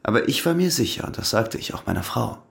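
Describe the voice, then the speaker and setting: An actor playing a defiant, brooding ex-convict murder suspect in a German radio crime drama, speaking tersely and deliberately. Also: male voice, medium pitch, sing-song, vocal fry, fairly bright tone, exaggerated voice. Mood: defensive, bitter.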